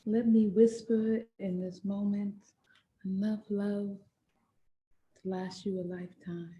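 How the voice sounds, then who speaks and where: A woman playing an anguished, fiercely loving enslaved mother in a dramatic stage monologue, speaking emphatically with heavy pauses. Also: medium pitch, level tone, highs cut off, lightly acted. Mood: tender, grieving. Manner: slow, emphatic, repetitive delivery with dramatic pauses.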